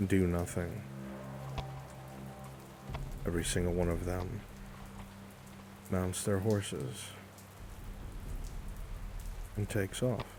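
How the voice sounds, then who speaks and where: A man's voice: low-pitched, fairly even intonation, very slow, long pauses, background noise. A man running a live roleplay session as game master, narrating and directing players, with rain behind him. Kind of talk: live virtual-reality roleplay storytelling.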